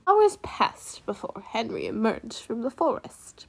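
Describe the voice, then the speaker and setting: Woman student narrator, lively swoopy pitch, reads the tale slowly. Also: gravelly, husky, whispered. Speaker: student volunteer storyteller. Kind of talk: scripted story narration, readers theater.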